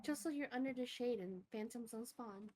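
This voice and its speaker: A woman's voice: on the high side, normal speed, dark tone. A woman chatting casually while playing a game with friends. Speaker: player in a Minecraft roleplay group. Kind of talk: casual gaming chat.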